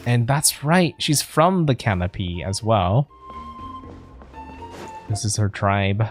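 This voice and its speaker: Low-pitched woman streamer, casual. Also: very animated, slow pace, faint music behind. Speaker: streamer playing a game. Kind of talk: casual let's play commentary, reading dialogue aloud.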